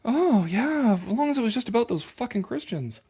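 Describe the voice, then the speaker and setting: A man's voice: very high-pitched, very animated, fairly quick, mellow, breathy edge. A Canadian man giving casual, opinionated commentary on an article he reads aloud, sarcastic, exasperated and given to swearing.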